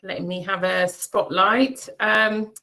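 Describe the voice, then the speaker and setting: A middle-aged British woman, a chief executive, giving an unscripted online presentation, thoughtful and halting with many fillers. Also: medium pitch, steady tone, gravelly, pushed and bright. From Britain and Cyprus. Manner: thoughtful, many ums and restarts. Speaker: CEO of an education organization.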